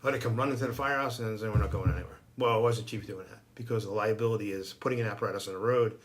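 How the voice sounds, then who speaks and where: A man's voice: on the low side, rapid-fire. A man speaking as a guest fire chief in a casual discussion, plain-spoken and emphatic, repeating phrases to drive points home.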